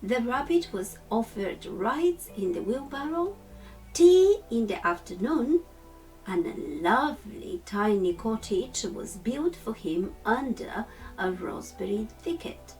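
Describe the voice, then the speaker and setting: A woman narrating a children's storybook as a storytime reader, with measured, deliberate phrasing. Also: on the high side, sing-song, darkish tone, far from the mic, soft background music, rough recording.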